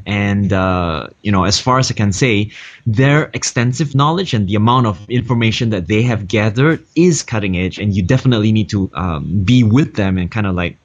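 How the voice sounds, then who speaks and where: A man with a fairly low voice talks hesitantly and fills his pauses with "uh" and "um". He co-hosts a seminar promo over a video call. His audio comes through dull and lo-fi. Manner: hesitant, heavy on "uh" and "um". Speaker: seminar co-host.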